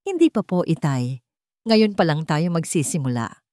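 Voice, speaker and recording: Narrator as wronged young heroine of an audio drama, Filipino accent, proud, accusing, dry sound. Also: female voice, low voice, very animated, moderate pace, warm tone, no echo. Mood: resolute. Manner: declamatory, accusing. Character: wronged young woman, proud, bitter avenger.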